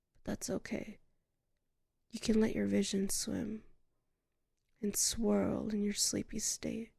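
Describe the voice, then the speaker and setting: Woman acting as hypnotist librarian, sleep ASMR, soothing, hushed breathy half-whisper, unhurried pacing, heavy vocal fry, close dry mic. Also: mid-range voice, dry recording, exaggerated voice. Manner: slow, soothing, hushed, lingering pauses. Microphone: close microphone.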